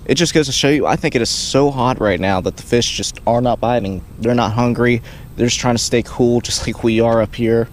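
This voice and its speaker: A young man casually narrating his fishing trip from a kayak, chatting relaxed as he goes. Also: medium pitch, brisk. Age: young adult. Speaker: fishing vlogger. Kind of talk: casual outdoor vlog narration. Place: on a kayak outdoors on a river. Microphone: action camera mounted on the kayak. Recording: outdoor camera audio with water noise.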